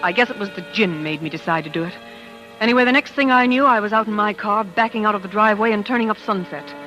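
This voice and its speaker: An actress playing a jealous, vain, dramatic wife in a theatrical, self-pitying 1940s radio style. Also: female voice, medium pitch, fast talker, dull audio, close to an everyday voice. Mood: confiding, self-justifying. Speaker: lead actress and first-person narrator. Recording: old 1940s radio recording with music.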